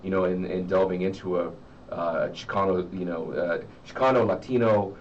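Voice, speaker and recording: Male interview guest, American, deep-pitched and searching for words, on a dull recording with faint noise. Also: steady tone. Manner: halting, repeats words as he searches. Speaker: interview guest, horror story writer. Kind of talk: reflective conversational interview. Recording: muffled, distant mic with faint noise.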